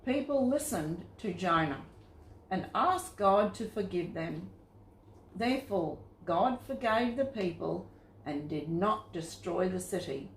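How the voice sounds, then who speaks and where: Slow-paced woman storyteller in an echoey room. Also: lowish voice, a little hiss or hum. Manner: simple, expressive storytelling for young listeners. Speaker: storyteller for children. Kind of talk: scripted children's Bible story narration. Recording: roomy, echoing sound.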